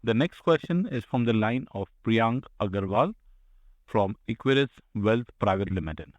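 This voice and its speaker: A man's voice: lowish voice, lively intonation, normal speed, no echo, dull audio. Operator guy reading out next question, formal, dry sound. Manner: formal, scripted announcements. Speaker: conference call operator. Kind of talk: formal call moderation.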